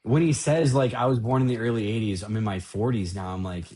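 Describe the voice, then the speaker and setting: A man in his late thirties with a slightly husky, lowish voice hosts a casual podcast chat. He is animated and joking, and keeps throwing questions at his co-host. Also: fast talker. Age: 30s.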